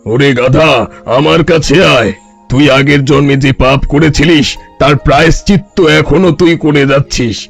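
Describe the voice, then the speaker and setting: A man voicing a boastful wandering holy man in a cartoon, gravelly, scolding and making grand prophecies. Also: on the high side, fairly even intonation, moderate pace, soft background music. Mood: scolding, prophetic. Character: bossy, boastful wandering holy man demanding food and shelter. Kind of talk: Bengali cartoon story voice acting.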